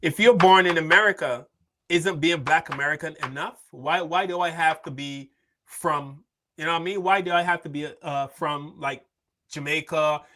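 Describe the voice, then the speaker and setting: A man's voice: fairly high pitch, moderate pace, pushed and bright. A man from Barbados hosting a podcast, talking casually and asking questions, repeating "why isn't that enough?". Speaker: podcast host. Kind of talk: casual opinionated discussion.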